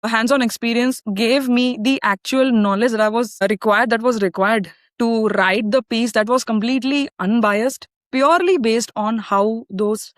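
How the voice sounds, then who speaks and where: A woman with an Indian English accent hosts a marketing podcast in a fairly high voice. She asks her questions in a measured, careful way and explains at length, often restarting her sentences. The sound is close and dry. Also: dry recording. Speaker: podcast host. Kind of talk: podcast interview.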